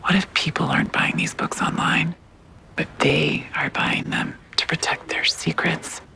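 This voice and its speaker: A person in an acted ad, whispering suspicions in a hushed, paranoid way. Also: medium pitch, fairly even intonation, measured pace, vocal fry. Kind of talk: acted ad dialogue.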